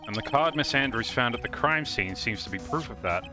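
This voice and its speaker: A man voicing an earnest, thoughtful young defense attorney in a deliberate, theatrical read of video game dialogue. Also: medium pitch, lively intonation, over music, lightly acted. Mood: earnest, pondering. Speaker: streamer dubbing a video game live.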